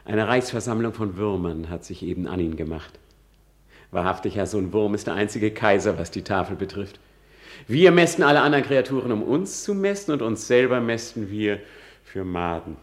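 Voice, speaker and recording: Low-voiced, sardonic prince played by a man. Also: animated, moderate pace, natural voice. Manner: theatrical, sardonic. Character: bitter, mocking young prince feigning madness. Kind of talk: German radio play of classical drama.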